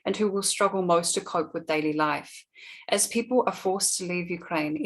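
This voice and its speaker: A woman moderating an online panel, reading a prepared question in a measured, steady way. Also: on the low side. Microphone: video call.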